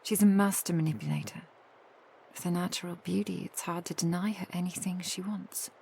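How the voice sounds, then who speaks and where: A woman voicing a protective, tender vampire girlfriend in an ASMR roleplay, soft, intimate and gently teasing. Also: lowish voice, fairly even intonation, a little fast, creaky, a little hiss or hum.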